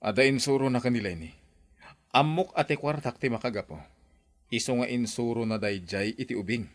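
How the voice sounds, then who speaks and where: Man as a fast-talking, irritable uncle. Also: medium pitch, close to an everyday voice. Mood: irritated. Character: irritable, suspicious uncle of a young girl. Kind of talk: radio drama acting.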